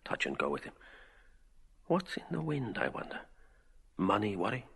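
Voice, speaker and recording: A man's private thoughts in a literary radio drama. The voice is low and husky with a creaky edge, musing in short, observant fragments inside a long, echoing reverb. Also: huge pitch swings, moderate pace, a bit bright, heavily acted. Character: Mr Bloom, musing, observant man thinking to himself.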